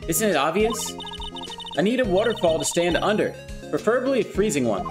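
A reader voicing a bubbly, excitable young female spirit medium in a game read-through, with music underneath. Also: medium pitch, fairly quick, close to an everyday voice. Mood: playful. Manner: eager, goofy, excitable. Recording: background music.

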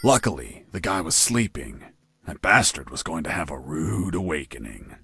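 An actor playing a gruff, wisecracking soldier in a video game, with a husky voice and deadpan delivery. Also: male voice, extremely low pitch, normal speed, faint music behind, exaggerated voice. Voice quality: husky, gravelly. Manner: deadpan, dry. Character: gruff male soldier recounting his prison escape, deadpan wisecracker. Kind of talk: video game voice acting, narration.

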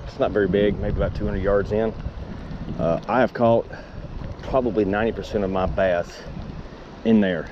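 Laid-back man, wind on the mic. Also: on the low side. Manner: laid-back, rambling, drawling asides. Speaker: fishing vlogger. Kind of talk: casual narration to camera while fishing. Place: outdoors at a wooded lakeshore. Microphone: head-mounted action camera. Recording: clear wind noise on the mic.

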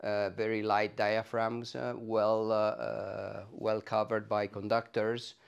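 A middle-aged man with an Italian accent, explaining technical things in an interview with hesitant, halting phrasing. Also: lowish voice, level tone, vocal fry, clear, resonant tone, muffled recording. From Italy. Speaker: loudspeaker designer being interviewed. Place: carpeted home listening room, indoors. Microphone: clip-on lapel mic.

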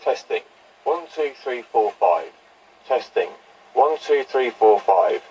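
A man giving a measured, matter-of-fact scripted test recording and narration as a presenter of vintage audio equipment. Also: mid-range voice, animated, darkish tone. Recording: distant, noisy reel-to-reel tape test.

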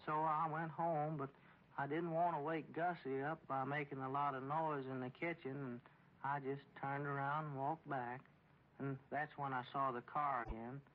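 A man's voice: medium pitch, level tone, moderate pace, slight background noise, lightly acted. An actor playing a meek, simple, dutiful small-town man with a rural Southern accent, reporting earnestly and hesitantly in a 1940s radio comedy. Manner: deferential, literal, hesitant. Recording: muffled old radio recording.

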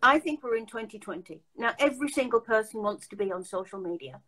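A woman's voice: a little high, expressive, quick pace, fairly bright tone, poor audio. An older woman hosting a casual chat over a video call from her home, emphatic and full of restarts. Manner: chatty, emphatic, many restarts. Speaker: host. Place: home room.

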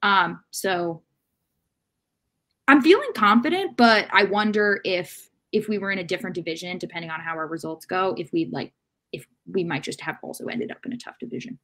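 A woman in her thirties co-hosting an unscripted soccer discussion on a video call, speaking in a measured, hesitant way as she thinks aloud. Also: on the low side, animated, pushed and bright. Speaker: sports podcast co-host. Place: room at home.

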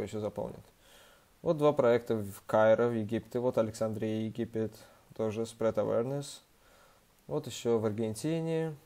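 A man's voice: medium pitch, mellow. A young man, a bit ill, casually walking viewers through a website in a screen-recorded tutorial, with many fillers and restarts. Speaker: student organization member giving a tutorial.

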